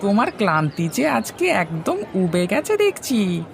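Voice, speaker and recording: A man's voice: sits high, sing-song, measured pace, creaky, some chatter behind, a little hiss or hum, voice pushed up, heavily acted. A man voicing a cheerful, chatty village companion in a cartoon, with an exclamatory, familiar manner. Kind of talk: Bengali cartoon voice acting.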